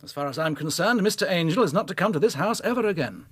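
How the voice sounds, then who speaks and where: A stern, self-important father of the Victorian era in a radio play. He talks fast in a fairly high male voice. His manner is curt, indignant and commanding. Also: animated, husky. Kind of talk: period radio drama acting.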